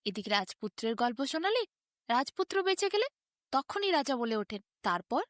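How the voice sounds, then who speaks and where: A woman narrating a bedtime folk tale as an expressive storyteller. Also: sits high, moderate pace, dry recording, studio quality.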